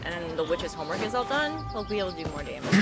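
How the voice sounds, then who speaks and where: A woman's voice: lowish voice, sing-song, fast. A woman giving casual live commentary as a game streamer. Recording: loud game music behind the voice.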